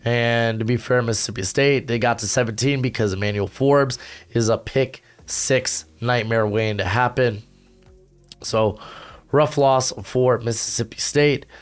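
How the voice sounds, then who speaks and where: A young male host talks through college football rankings in quick, slangy bursts, full of fillers. His voice is hoarse, yet it stays fairly clear under the strain. He speaks at a mid pitch, recording at home. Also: soft background music. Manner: energetic, slangy, rapid asides with frequent fillers. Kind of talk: casual rankings commentary to camera. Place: home recording setup with a humidifier.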